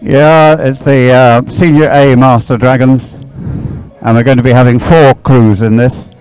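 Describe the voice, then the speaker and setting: A man giving live race commentary, explaining things in a halting way. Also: medium pitch, fairly even intonation, a little mellow, smooth, rough recording. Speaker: sports commentator.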